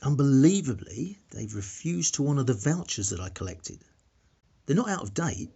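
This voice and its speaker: A man's voice: mid-range voice, mellow, husky. An actor playing an eccentric, frugal vlogger, earnestly complaining to his viewers in a radio play. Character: eccentric frugal male vlogger, penny-pinching and aggrieved. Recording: muffled lo-fi vlog sound.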